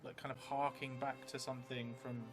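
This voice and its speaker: A middle-aged man thinking aloud as he plays a solo tabletop game, halting and hesitant, often trailing off mid-thought. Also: mid-range voice, level tone. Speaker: solo tabletop role-player streaming a game. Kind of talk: thinking aloud while playing a journaling game. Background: music.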